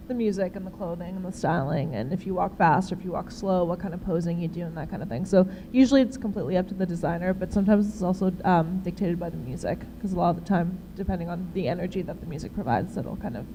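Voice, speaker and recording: A woman's voice: on the low side, fast talker, few pauses. A woman in her twenties explaining things conversationally as an interview guest. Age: 20s. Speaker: interview guest, model manager. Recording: clear background room noise.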